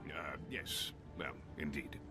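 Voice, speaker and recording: A man's voice: very deep voice, slow. An actor playing a haughty, suspicious nobleman in a video game, speaking in a formal, wordy, pompous way. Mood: stiffly gracious. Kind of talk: video game cutscene acting. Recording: game soundtrack music behind.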